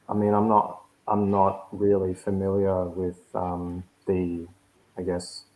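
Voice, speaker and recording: A man's voice: deep voice, warm tone, slight background noise, low-quality recording. A Māori man moderating a festival panel, asking rambling, tentative questions full of fillers. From New Zealand Māori. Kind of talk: panel discussion.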